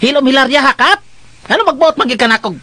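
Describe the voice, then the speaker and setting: A man's voice: extremely high pitch, quick pace, bright, forceful tone, no echo. A man playing a vain, irritable young country fellow who squawks and scolds in a comic radio drama. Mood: indignant.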